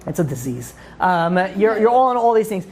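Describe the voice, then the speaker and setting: A woman teaches a religious class at a rapid clip. Her pitch sits in an average range for a woman. She is emphatic and informal, firing questions at the group and then answering them herself. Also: sing-song, darkish tone, a little hiss or hum.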